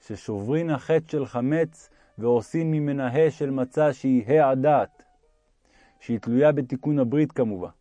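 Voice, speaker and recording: A man's voice: mid-range voice, mellow, no echo, muffled recording. A man reading a religious text aloud as an audiobook narrator.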